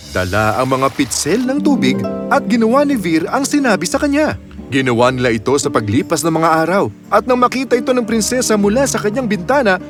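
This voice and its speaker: A man narrating a scripted children's fairy tale with a dramatic storytelling delivery. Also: sits high.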